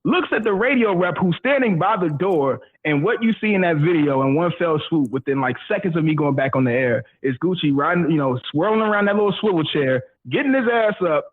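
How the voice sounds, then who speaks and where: A man's voice: on the high side, lively intonation, fairly quick, few pauses. A man telling stories casually as a radio guest, in a slangy, self-mocking way. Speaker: radio personality being interviewed as guest. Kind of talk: casual animated storytelling. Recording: thin phone-like audio.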